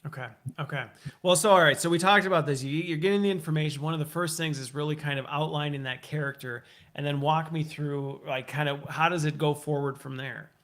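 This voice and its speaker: Male interview host, slightly high-pitched, conversational and talkative, recaps and thinks out loud. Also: fairly even intonation, fairly quick.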